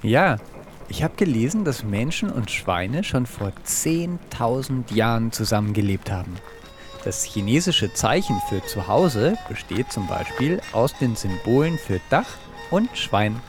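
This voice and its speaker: A man performs in a children's science audio play as a podcast co-host. His delivery is animated, moving freely up and down in pitch. Faint music sits behind the voice. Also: unhurried. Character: himself turned into a reluctant pink pig.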